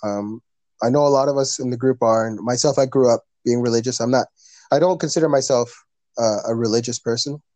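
Casting: guy, mid-pitched, earnest and rambling, podcast guest, dry recording. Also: brisk, mellow, dry recording. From Canada. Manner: earnest, rambling, frequent fillers and restarts. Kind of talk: casual conversation.

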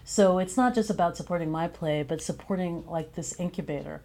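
A woman's voice: lowish voice, a little fast, mellow. A woman speaking casually as a guest playwright in an interview.

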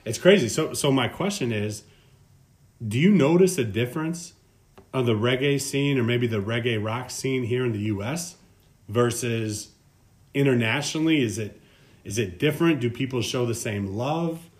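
A man's voice: mid-range voice, moderate pace. Effusive man hosting a casual interview. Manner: effusive, slangy, keeps saying "man"; long winding questions. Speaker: podcast host.

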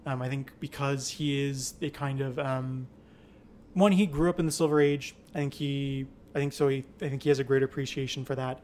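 A man's voice: medium pitch, steady tone, moderate pace, darkish tone. A young man as a podcast guest, speaking with strong, opinionated conviction in casual chat. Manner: opinionated, many fillers and restarts.

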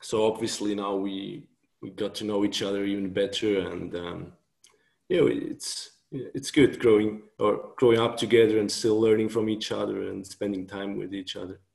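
Creaky-voiced Swiss man in a roomy space. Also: on the low side, fairly even intonation, moderate pace, darkish tone. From Switzerland. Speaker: interview guest, author. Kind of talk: casual conversational interview. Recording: roomy, echoing call audio.

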